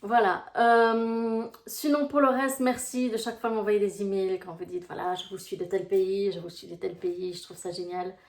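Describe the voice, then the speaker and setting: A woman's voice: mid-range voice, fairly even intonation, normal speed, few pauses. Belgian woman, Brussels accent, life coach; casual, filler-heavy chat, mid-range voice, echoey room. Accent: Brussels Belgian French. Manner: chatty, rambling, full of fillers. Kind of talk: casual talk to camera.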